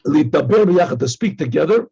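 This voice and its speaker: Husky, high-pitched male rabbi teaching. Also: animated, very fast, dark tone, muffled recording. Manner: conversational, acts out dialogue, repeats phrases, frequent throat clearing. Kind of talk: informal religious lesson, reading Hebrew text and translating.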